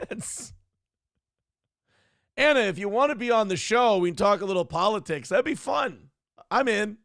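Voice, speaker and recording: A man hosts his own livestream in a casual, profane, off-the-cuff way, reading viewer messages aloud. His voice sits a little high, with a gravelly edge, and swings widely up and down. The sound is dry and close. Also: lively intonation, moderate pace, pauses often, a bit bright, dry recording. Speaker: streamer and show host.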